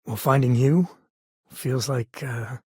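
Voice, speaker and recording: A man's voice: medium pitch, slow, darkish tone, breathy edge, no echo, clean studio sound. An actor playing a rugged, easygoing space ranger and protective father in a video game, with a folksy, wry, conversational manner. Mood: warm, hesitant. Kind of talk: video game character dialogue.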